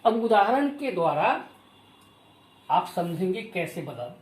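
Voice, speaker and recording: A woman's voice: fairly low pitch, sing-song, slow pace, a little mellow. Woman teacher in an echoey room. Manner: didactic, repeats key phrases for emphasis. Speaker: school teacher giving a Hindi grammar lesson. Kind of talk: instructional lesson, explaining rules with examples.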